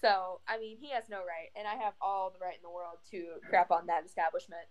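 A woman's voice: lowish voice, steady tone, fairly quick. A young woman co-hosting a casual podcast, ranting bluntly with frequent restarts. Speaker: podcast co-host. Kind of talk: casual chat.

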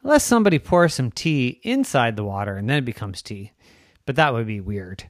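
Talking kelp from a bedtime story, voiced by a storyteller, rattling off quick, bouncy lines with teasing repetition. The pitch leaps up and down a lot. It sounds close and dry, with no room around it. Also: sing-song, dry recording, natural voice. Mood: chatty. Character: chatty know-it-all talking kelp plant.

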